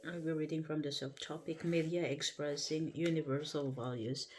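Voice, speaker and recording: A woman with a Filipino accent reads a book aloud on a bedtime livestream from home. She goes slowly and carefully, trips on longer words and corrects herself. Soft music plays faintly behind her. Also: sits low, steady tone. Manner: careful, halting reading with self-corrections. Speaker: livestream host. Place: at home before bed. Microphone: livestream setup.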